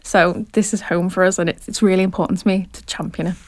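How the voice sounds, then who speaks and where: A woman's voice: fairly low pitch, steady tone, fast talker, dry recording. A woman in her thirties with a Liverpool accent, a business founder answering interview questions with chatty enthusiasm. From Liverpool, England. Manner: enthusiastic, chatty, laughs easily.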